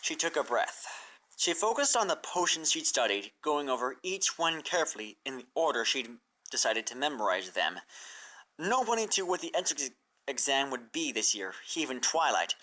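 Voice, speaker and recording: A narrator reading a fan fiction story aloud in an expressive storybook style. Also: medium pitch, moderate pace, rough recording.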